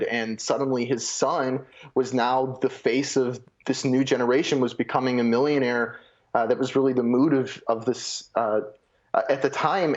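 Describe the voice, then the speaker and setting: A man giving unscripted interview commentary as a film reporter, halting and repeating words. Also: medium pitch. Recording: dull lo-fi remote link.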